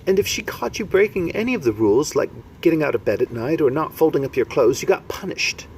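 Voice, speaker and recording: A man's voice: on the high side, fast talker, pitched above the performer's own voice. A man voicing a plucky young orphan girl who answers plainly and matter-of-factly. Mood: resentful. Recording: steady background noise.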